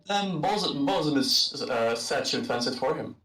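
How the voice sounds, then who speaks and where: A man's voice: a little high, animated, moderate pace, music in the background, close to an everyday voice. Man playing a sheepish adventurer, distant and roomy. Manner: hesitant, apologetic. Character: sheepish, polite young male adventurer.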